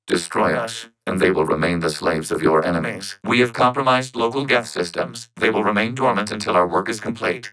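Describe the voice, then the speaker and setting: A man playing a calm, logical robot intelligence in a video game, speaking in a formal, precise, unemotional way through a synthetic filter. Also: on the low side, smooth, rough recording.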